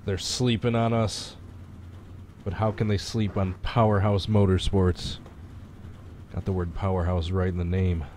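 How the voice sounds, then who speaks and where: A man's voice: low voice, level tone, a little slow, a little mellow, a little hiss or hum. A man with a stuffy, congested voice chatting offhandedly while live streaming a racing game. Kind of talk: casual live stream chat and game commentary.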